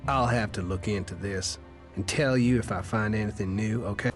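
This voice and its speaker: An actor playing a wary former gang outlaw in a video game, rueful, halting and fatalistic. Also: male voice, on the low side, faint music behind, lightly acted. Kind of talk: video game character dialogue.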